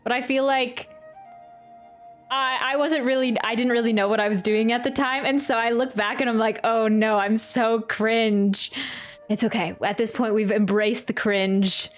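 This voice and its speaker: A woman streams a game and talks casually to her viewers in a fairly high voice. She is chatty, giggly and quick to poke fun at herself. Soft game music plays behind her. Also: steady tone. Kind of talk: casual chatty livestream commentary. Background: video game music.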